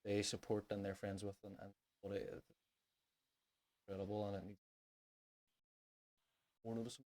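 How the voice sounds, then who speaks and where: A man's voice: lowish voice, level tone, normal speed, darkish tone. A Northern Irish man hosting a music podcast in a casual, chatty style. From Northern Ireland.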